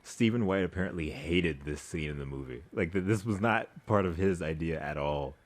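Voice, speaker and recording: Low-voiced man doing casual reaction commentary. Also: moderate pace. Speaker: reaction video host.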